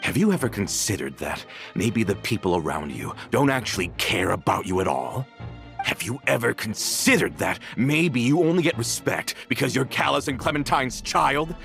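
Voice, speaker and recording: A man's voice: mid-range voice, lively intonation, moderate pace, creaky. A man playing a bitter, vengeful villain in a video game, dramatic and accusatory, with music behind him. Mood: furious accusing. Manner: dramatic, accusatory, swinging from brooding to ranting. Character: bitter, vengeful villain, a former member of a crime family. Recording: loud music under the voice.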